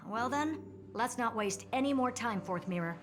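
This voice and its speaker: A woman's voice: on the high side, animated, normal speed, soft background music, natural voice. An actress playing a confident woman genius in a video game, setting out plans in an assured, instructive way. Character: confident, brisk woman genius leading the plan. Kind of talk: game character acting.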